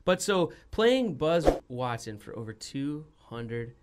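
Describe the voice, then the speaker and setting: A man's voice: mid-range voice, big pitch swings, slow, heavy background noise. A young man hosting a casual studio interview, rambling, with frequent "like" and restarts. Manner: rambling, heavy use of "like", restarts. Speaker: podcast host. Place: radio studio.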